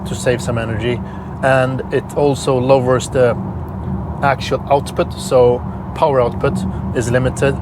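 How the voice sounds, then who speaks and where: A man from Sweden with a Swedish accent, calmly explaining a range test in a casual vlog while driving. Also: mid-range voice, background noise. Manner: plain, explanatory, slightly halting with repeated words. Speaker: electric car reviewer.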